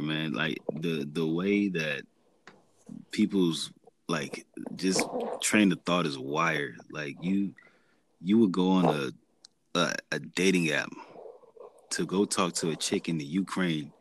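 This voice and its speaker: Laid-back man with a very deep voice. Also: slight background noise. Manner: laid-back slangy talk, drawn-out fillers. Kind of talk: casual chat.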